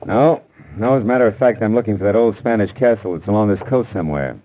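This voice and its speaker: An actor playing a wry, skeptical private detective in 1940s radio drama style, deadpan and wisecracking, with first-person noir narration. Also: male voice, fairly low pitch, fast talker, lightly acted. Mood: dry, probing. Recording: muffled, narrowband old radio recording.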